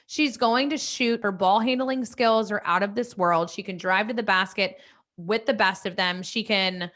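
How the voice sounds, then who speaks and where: The bright-voiced thirty-something woman at the sports bar table, eagerly chatting through every player with her friends. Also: medium pitch, moderate pace. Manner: chatty, enthusiastic. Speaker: co-host of a sports podcast. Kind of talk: casual sports chat.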